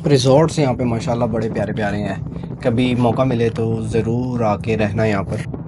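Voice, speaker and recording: Chatty motorcyclist narrating on the highway. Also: male voice, moderate pace. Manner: relaxed, chatty, mixes English words into Hindi. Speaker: motorcycle vlogger. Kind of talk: casual travel narration while riding. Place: on a motorcycle on a desert highway, outdoors. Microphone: helmet or action-camera mic. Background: faint wind and engine noise.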